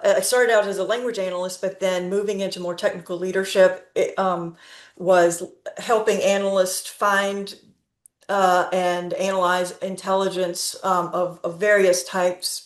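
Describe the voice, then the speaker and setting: A woman in her fifties giving a presentation on a video call, pausing often with fillers and restarts. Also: mid-range voice. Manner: speaks slowly with frequent fillers and restarts. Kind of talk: conference talk. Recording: roomy echo.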